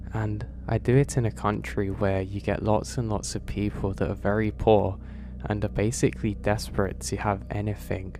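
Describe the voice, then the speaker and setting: A man narrating first-person horror stories in a steady, even storytelling read. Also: fairly low pitch. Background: background music.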